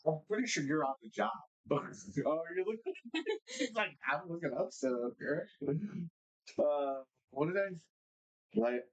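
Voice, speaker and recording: A man co-hosting a casual podcast chat, playful and slangy, steering the questions with jumpy, lively talk. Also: fairly high pitch, unhurried, pauses often, poor audio. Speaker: podcast co-host.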